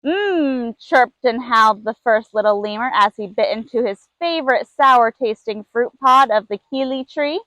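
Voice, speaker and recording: Female storybook narrator, high-pitched, reading aloud for children, recorded dry. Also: normal speed, few pauses, sharp tone, dry recording, rough recording. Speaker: zoo keeper reading a story.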